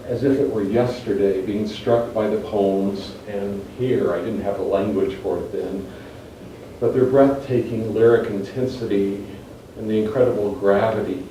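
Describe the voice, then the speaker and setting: A man in his fifties giving a prepared introduction in a measured, formal, literary way, as a university teacher presenting a guest. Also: lowish voice, warm tone. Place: indoor university reading event. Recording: echoey room, distant mic, faint noise.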